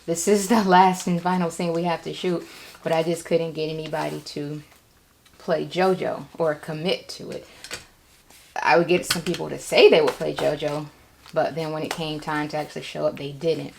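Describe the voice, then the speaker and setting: A woman records a candid personal vlog in an echoey room. Her voice sits a little low with noticeable fry. She talks informally and haltingly, like someone thinking aloud. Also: little pitch movement, slight background noise. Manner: halting, informal, acts out quoted remarks. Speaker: vlogger, writer and web series creator.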